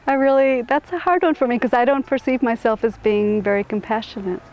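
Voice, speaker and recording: Thoughtful, halting woman. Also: a little high, darkish tone, poor audio. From suburban Chicago, USA. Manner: thoughtful, halting, many restarts. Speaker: interviewee. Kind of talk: reflective interview answers.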